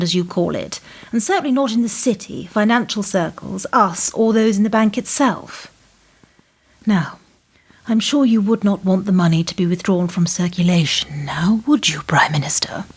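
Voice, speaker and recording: A woman acting in a radio play as a cool, controlled government minder who speaks in a measured, formal, insinuating way. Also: medium pitch, breathy edge.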